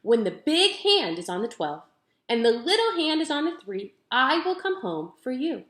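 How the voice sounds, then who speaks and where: A woman's voice: high voice, very animated, moderate pace, a bit bright, voice pushed up. A woman voicing an earnest, affectionate ten-year-old girl in a children's storybook, sweet and polite. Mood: instructing, fond. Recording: roomy echo.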